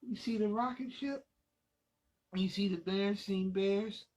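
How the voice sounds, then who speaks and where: A man's voice: sits high, slow pace, darkish tone, poor audio. A man reading a children's storybook aloud as host, in a playful, dramatic manner, speaking to young listeners.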